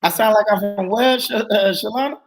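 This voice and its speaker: A man from the American South with a Southern accent, chatting on a panel in an emphatic, animated way. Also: high-pitched, a little fast, gravelly, slight background noise. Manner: animated, emphatic, swears freely. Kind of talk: casual panel chat.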